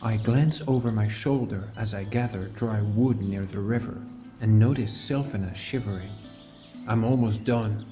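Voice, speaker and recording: A man playing a calm, caring fantasy adventurer and mentor, narrating his journey in a measured, earnest first person for an audiobook. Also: on the low side, over music, lightly acted. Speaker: narrator and lead character.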